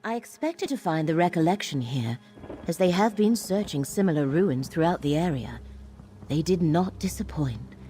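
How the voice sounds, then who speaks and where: An actress playing a grave, scholarly guide in a fantasy video game, speaking in a measured, formal, solemn manner. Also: female voice, fairly low pitch, expressive, dark tone, natural voice. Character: grave, scholarly woman guide to ancient ruins.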